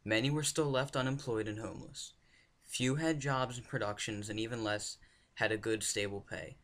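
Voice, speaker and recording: A man's voice: lowish voice, steady tone, normal speed, fairly bright tone. A man narrating a scripted newsreel, reading from prepared text.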